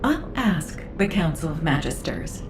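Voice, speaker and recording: An actress playing a composed, analytical researcher in a video game, speaking in a measured, formal way. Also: female voice, low voice, big pitch swings, noisy background, exaggerated voice. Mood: curious, composed. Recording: reverberant voice over loud game music.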